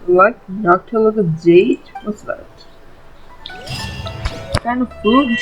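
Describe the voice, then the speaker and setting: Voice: mid-range voice, expressive, takes it slowly, dull audio. A gamer giving informal let's-play commentary, peppering the talk with filler words. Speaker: gaming YouTuber. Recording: faint game music behind.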